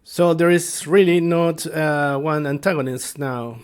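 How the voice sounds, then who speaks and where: Halting man talking through game ideas. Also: on the high side, clear, resonant tone. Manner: halting, thinking aloud. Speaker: tabletop game master. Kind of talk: casual unscripted explanation to viewers.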